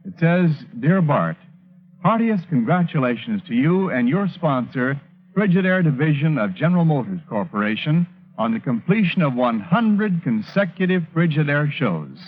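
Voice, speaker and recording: A man's voice: fairly high pitch, big pitch swings, normal speed. A man announcing on a 1940s radio show, reading in a formal, polished style. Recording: phone-like vintage radio recording, music.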